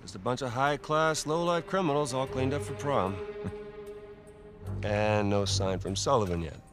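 A man's voice: medium pitch, normal speed, music in the background, close to an everyday voice. An actor with an American accent playing a wry, skeptical middle-aged rogue and older brother in a game cutscene, needling as he talks. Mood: wry, teasing. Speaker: video game character. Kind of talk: naturalistic game cutscene dialogue.

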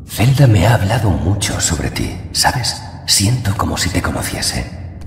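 A man playing a calm, wise, mysterious guardian in a Castilian Spanish fantasy game dub, measured and reassuring. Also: low-pitched. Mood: calm, solemn. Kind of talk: solemn video game dub. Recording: echoing long reverb, faint background noise.

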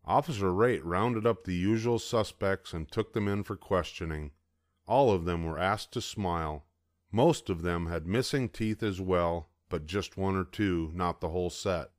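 An older man reads a children's picture book aloud with steady, even narration. His voice sits on the low side. The recording is close and dry, with no room sound. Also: unhurried, dry recording. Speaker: storybook reader.

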